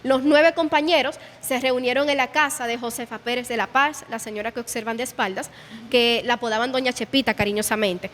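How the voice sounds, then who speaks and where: Guía dominicana, voz aguda; explica historia en sala con eco largo. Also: female voice, a little high, a little fast, a bit bright, big-room sound, slight background noise. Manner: explanatory, narrates history with quoted dialogue. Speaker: museum guide. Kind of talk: guided tour explanation. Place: indoor exhibition hall.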